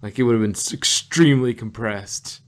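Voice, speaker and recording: Casual male podcast co-host, medium pitch, loose and giggly, thinking out loud. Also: moderate pace. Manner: rambling, laughs often, restarts and hedges mid-thought. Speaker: podcast co-host reviewing an audio drama. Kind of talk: casual review chat.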